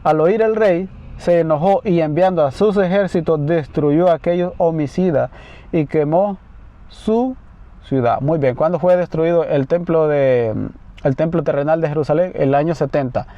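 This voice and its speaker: A man preaching a sermon, reading scripture slowly and answering his own questions. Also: on the high side, no room sound. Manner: asks questions and answers them himself; slow, deliberate reading of scripture. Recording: slightly dull, lo-fi sound.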